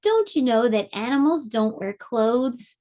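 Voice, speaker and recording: A woman's voice: a little high, very animated, a little slow, mellow. Woman doing a teasing farm girl.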